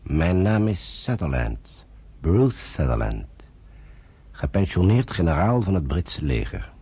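An actor playing a solemn older retired British army general who narrates in a grave, measured way. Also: male voice, low-pitched, big pitch swings, warm tone, slightly rough, no room sound, muffled recording, exaggerated voice. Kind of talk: 1950s radio drama narration.

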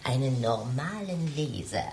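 A woman's voice: very deep voice, sing-song, a little mellow, a little hiss or hum, heavily acted. An actress in a radio play playing a seductive, mocking woman who teases coquettishly in a library. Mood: teasing, seductive. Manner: slow, teasing, coquettish.

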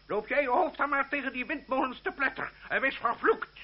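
An actor playing a hot-tempered, gruff older aristocratic uncle in a radio drama, stammering and exclaiming as he blusters. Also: very high voice, a little fast. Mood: indignant, blustering. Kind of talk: Dutch radio drama acting. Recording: muffled, noisy, humming old radio recording.

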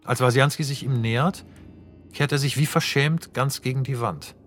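A man reads the stage directions for a radio play in a steady, measured way. His voice sits at an ordinary mid pitch, and he describes each scene plainly. Faint music plays underneath. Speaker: narrator reading stage directions. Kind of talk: scripted narration.

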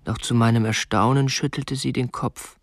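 Radio drama: man playing a young, respectable petty-bourgeois obsessive, meek and restrained, mid-pitched, confessing earnestly. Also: steady tone, normal speed, dry recording, studio quality, natural voice. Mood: stiff, confessional. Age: young adult. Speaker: actor and first-person narrator in a radio play. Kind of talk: radio drama narration and dialogue.

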